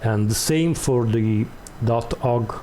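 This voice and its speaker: A man hosting a step-by-step tutorial, explaining off the cuff in a halting way and repeating words as he goes. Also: mid-range voice, dark tone, slight background noise. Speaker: tutorial host.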